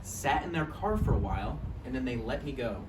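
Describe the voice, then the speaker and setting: A man's voice: mid-range voice, expressive, a little fast, natural voice. A man playing a tired, anxious, needy man being left by his partner, in a rambling, conversational table read of a play. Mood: rattled. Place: echoey room at a live table read. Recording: echoey, noisy room, distant mic.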